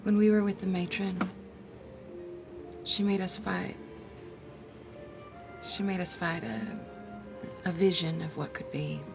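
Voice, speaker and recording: A woman's voice: lowish voice, flat delivery, takes it slowly, creaky, pauses often. Woman playing a hesitant, earnest young sorceress over music. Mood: troubled, earnest. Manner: hesitant, searching, trailing phrases. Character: earnest, troubled, soft-hearted young sorceress. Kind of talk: in-character tabletop roleplay.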